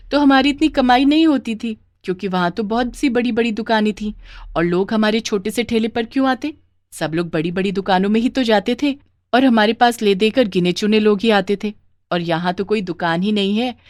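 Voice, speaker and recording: A woman's voice: mid-range voice, normal speed, dry recording, studio quality, close to an everyday voice. Woman voicing a sensible, hopeful young daughter. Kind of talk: Hindi animated moral story dialogue.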